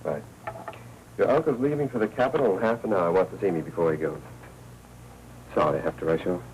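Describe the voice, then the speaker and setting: An American actor playing a wry, probing newspaper reporter in clipped 1940s film noir style. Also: male voice, low-pitched, brisk, darkish tone, lightly acted. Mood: brisk. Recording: muffled, noisy 1940s film soundtrack.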